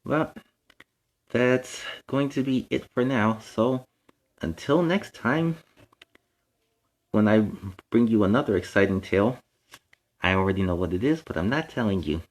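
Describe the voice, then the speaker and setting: Animated man reading to children. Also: fairly low pitch, a little slow, long pauses. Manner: explains words and lessons to young listeners; playful, in a superhero persona. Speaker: children's story time host. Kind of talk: animated children's storybook read-aloud with chatty asides.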